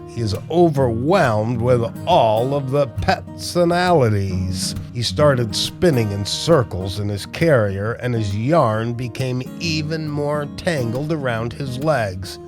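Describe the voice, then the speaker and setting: Slow-paced male storybook narrator over guitar music. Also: medium pitch. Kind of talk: children's read-aloud narration. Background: music with plucked guitar.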